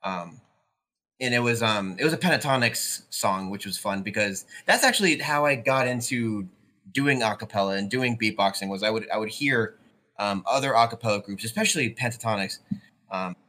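A man's voice: lowish voice, expressive, a little fast, bright, forceful tone. A young man in his twenties, co-hosting a podcast, talking casually with many ums and restarts. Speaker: podcast co-host.

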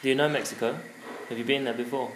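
A man's voice: mid-range voice, steady tone, fast. A man interviewing a traveller, asking curious follow-up questions in a casual chat. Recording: echoey room with faint noise.

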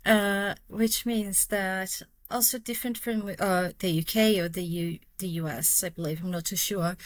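A woman's voice: mid-range voice, no room sound. A Brazilian woman, a neuroscientist guest, answering interview questions carefully with many fillers and self-corrections. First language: Portuguese. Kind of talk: casual interview talk.

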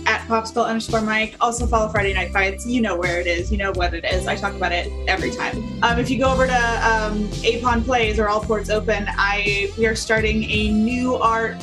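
A woman's voice: mid-range voice, fairly even intonation, brisk, few pauses, over music. A woman player introducing herself in a jokey, rambling way.

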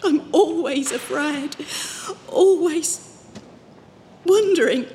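A woman plays a wandering holy visionary in an audio drama. Her voice is high and full of pious, anxious fervour, ringing in a long reverb over faint noise. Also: takes it slowly, dark tone. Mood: fearful. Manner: earnest, emotional, pious. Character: fervent, fearful wandering female religious visionary.

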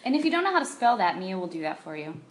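A woman's voice: on the low side, sing-song, very fast. A woman co-hosting a podcast in bubbly, playful, jokey casual chat. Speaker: podcast co-host.

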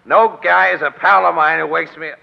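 An actor playing a wisecracking, slangy big-city crime photographer, gruff but loyal, in a 1940s radio drama. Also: male voice, on the high side, fairly even intonation, brisk. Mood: groggy, irritated. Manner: slangy, wry tough-guy talk. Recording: echoey, dull old radio recording.

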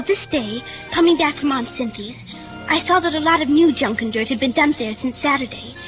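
Actress as a high-voiced, earnest young girl. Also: lightly acted. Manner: breathless, pleading, childlike. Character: earnest, frantic young girl telling her story. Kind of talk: old-time radio drama narration and acting. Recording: dull old radio recording, music behind.

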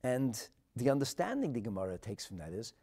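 Gravelly North American man preaching, highly animated. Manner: emphatic and dramatic, swinging from hushed asides to loud declarations; deliberate phrasing with rhetorical repetition. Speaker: rabbi and Torah teacher. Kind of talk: religious sermon.

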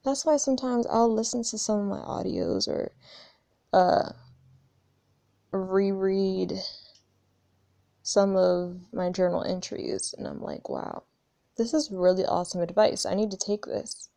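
A woman's voice: mid-range voice, unhurried, creaky, long pauses, mellow, no room sound, studio quality. A woman of twenty-one talking in a candid, rambling way about her spiritual journey, swearing casually and often restarting her sentences. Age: 20s. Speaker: spiritual vlogger. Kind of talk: casual reflective monologue.

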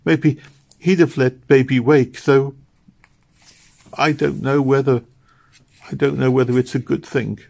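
A man's voice: on the low side, fairly even intonation, normal speed, darkish tone, pitched above the performer's own voice, close to an everyday voice. A man voicing a grieving poor little girl who pleads tearfully and earnestly. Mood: sobbing.

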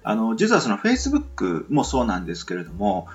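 Low-voiced, animated man hosting solo. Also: muffled recording. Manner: halting, filler-heavy, thinking aloud in short phrases. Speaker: podcast host. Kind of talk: rambling solo radio talk.

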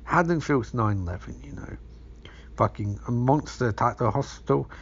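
Hoarse gravelly man ranting. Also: medium pitch, moderate pace. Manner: sweary, rambling, acts out scenes. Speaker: podcast host. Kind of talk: casual profane movie recap. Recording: dull lo-fi recording.

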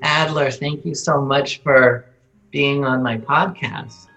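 A man hosting a podcast interview, friendly and quick to laugh, hesitant with fillers when asking questions. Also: medium pitch, fairly even intonation, sharp tone, dull audio. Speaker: podcast host. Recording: music playing behind.

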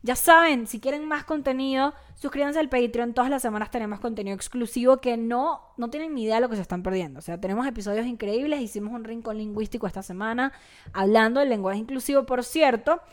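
A Mexican-accented woman hosts a casual podcast, her voice a bit high. She talks in a relaxed, chatty way and now and then reads passages aloud. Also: fast talker. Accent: Mexican Spanish. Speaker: podcast host. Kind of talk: casual chat.

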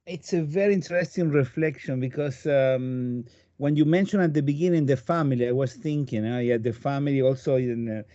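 A man's voice: mid-range voice, a little fast, warm tone, no room sound. A man co-hosting a podcast interview, asking questions in a hesitant, thoughtful way. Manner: hesitant, thoughtful, self-doubting. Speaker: podcast co-host. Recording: dry, dull lo-fi audio.

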